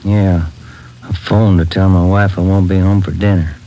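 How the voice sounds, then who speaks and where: An actor playing a wounded but easygoing male police detective in a 1950s radio drama, talking in a relaxed, offhand way. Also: low voice, moderate pace, highs cut off, heavy background noise.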